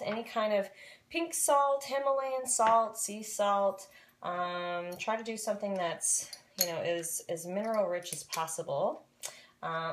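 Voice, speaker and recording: A woman in her thirties, a little hoarse from a cold, chatting casually with lots of ums as she shows a home remedy in her kitchen. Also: medium pitch, slight background noise. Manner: chatty, with many ums and uhs. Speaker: natural health blogger. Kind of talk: casual how-to vlog. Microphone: built-in camera mic, close and sometimes farther off.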